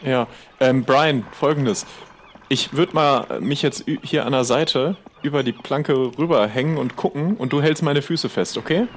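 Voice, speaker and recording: A man's voice: mid-range voice, measured pace, a little hiss or hum. A man role-playing casually in an improvised adventure game, tentatively thinking aloud as a player.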